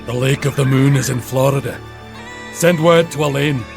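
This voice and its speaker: A man's voice: medium pitch, fairly even intonation, moderate pace, creaky, lightly acted. A man playing a grave, resolute knight commander in a video game cutscene. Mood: grave, urgent. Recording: music behind the voice.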